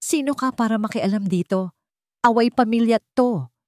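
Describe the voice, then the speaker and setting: A woman's voice: on the high side, normal speed, dry recording, pitched above the performer's own voice, lightly acted. Violent, money-hungry thug for romance drama, angry and cocky, performed by a female narrator, dry sound. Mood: arrogant. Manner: angry, threatening, boastful. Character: greedy, arrogant, violent man bullying others.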